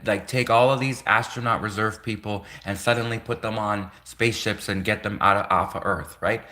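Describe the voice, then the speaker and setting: Bright-voiced man, native Russian speaker. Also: mid-range voice. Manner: earnest, rambling, repeats phrases for emphasis. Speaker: livestream host. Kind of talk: casual livestream monologue.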